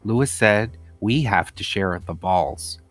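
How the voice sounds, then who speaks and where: A man's voice: fairly low pitch, slow, dry recording, soft background music. A man voicing a rude, insistent schoolboy who is a bad sport, in a storybook reading. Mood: pushy. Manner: demanding, insistent.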